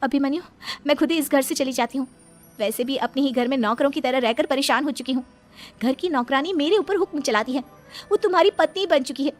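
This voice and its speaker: A woman's voice: sits high, fast talker, faint music behind, lightly acted. A woman voicing a wronged young daughter-in-law in a cartoon family drama, earnest, indignant and dignified. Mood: hurt, resigned. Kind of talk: dramatised cartoon story dialogue.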